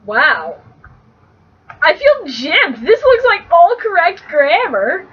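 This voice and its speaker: A woman's voice: extremely high pitch, wildly swooping pitch, takes it slowly. A woman co-host in casual comic banter, complaining in an exaggerated, exclamatory way, on a dull lo-fi recording. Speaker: podcast co-host.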